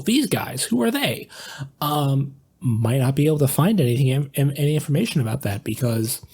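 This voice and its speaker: Male panelist, medium pitch with a husky edge, casual and halting delivery. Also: darkish tone. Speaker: podcast panelist. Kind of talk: casual discussion.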